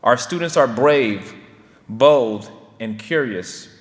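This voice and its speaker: A man's voice: mid-range voice, expressive, slow. A man, a Spanish teacher, giving a formal, earnest ceremony speech to honor students. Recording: hall with long reverb.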